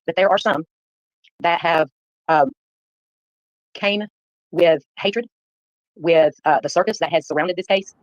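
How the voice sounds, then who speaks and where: A woman's voice: lowish voice, measured pace, pauses often, dry recording. Earnest woman streamer, dull lo-fi sound. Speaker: live stream host. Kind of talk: livestream address to viewers. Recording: dull, lo-fi livestream playback.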